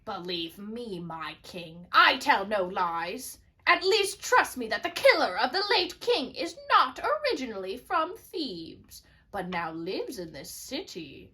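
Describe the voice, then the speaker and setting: A woman's voice: mid-range voice, sing-song, slow pace, hardly any pauses, sharp tone, full character voice. A woman playing a nervous, defensive, stammering royal adviser, a man, in a comic amateur puppet show. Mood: meek, wounded.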